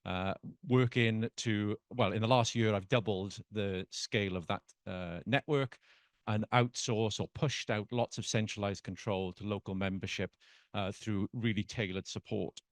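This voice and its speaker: A man in his forties with a South Welsh accent, earnestly pitching himself as a trustee candidate at a members' meeting. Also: steady tone, unhurried, no echo. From South Wales. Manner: earnest, with frequent "uh" fillers. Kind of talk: live speech at a meeting.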